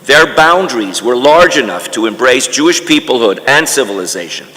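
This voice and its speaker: An older man from Canada reads an autobiographical lecture in a deliberate storytelling manner, with emphatic lists, in a large conference hall. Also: medium pitch, animated, sharp tone. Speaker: guest lecturer reading a memoir. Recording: echoey hall with long reverb.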